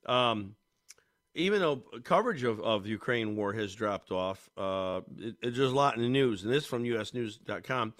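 A man's voice: lowish voice, lively intonation, moderate pace, fairly bright tone. A man hosting a talk radio show, congested, rambling casually with many fillers and restarts.

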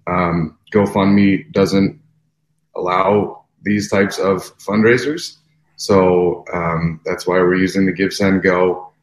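A very low-voiced man speaks in a steady, flat delivery with little rise and fall. The room around him is noticeably echoey. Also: slow. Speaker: wedding venue co-owner, guest. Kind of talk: interview talk.

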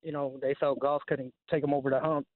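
A man's voice: mid-range voice, level tone, brisk, no room sound. A man calling a sports show by phone, giving his fan opinion in casual, slangy talk. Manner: slangy, informal. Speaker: radio show caller. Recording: dry, narrow phone line.

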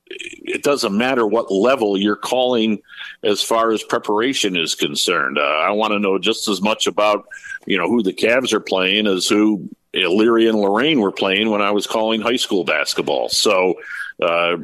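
A man's voice: fairly low pitch, fairly bright tone, no echo. A man in his fifties, a sports broadcaster, explaining his work in detail in a conversational podcast interview. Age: 50s. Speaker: basketball radio play-by-play broadcaster, interview guest.

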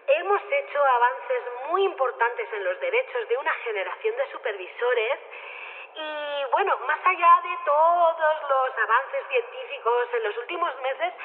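An earnest female official delivers a solemn ceremonial speech in a high voice with a Spain Spanish accent. She is formal and halting, and her words carry a long reverb through a thin, narrow public-address sound, as in radio drama. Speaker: festival committee speaker.

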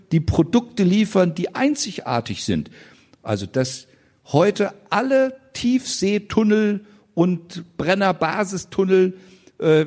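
A man's voice: a little high, a little mellow. A man in his sixties, a guest at a public panel talk, speaking passionately and emphatically, with indignant outbursts. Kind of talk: animated stage conversation. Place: public event in front of an audience.

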